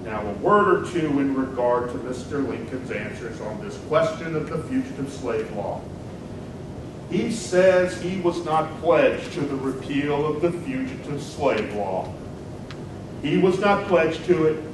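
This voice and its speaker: A man's voice: expressive, a little slow, close to an everyday voice. A man playing an impassioned 19th-century American senator, declaiming emphatic political oratory and rhetorical questions in a dramatic reading. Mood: scornful. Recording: very echoey hall, distant mic, loud rumbling noise.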